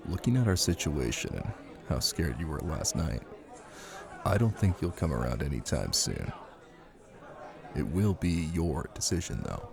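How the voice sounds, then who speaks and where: A man playing a possessive, menacing Italian mafia husband in an intimate ASMR roleplay, speaking in a commanding way with deliberate pauses. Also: rumbling low, sing-song, creaky, crowd noise, heavily acted. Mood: measured, patient.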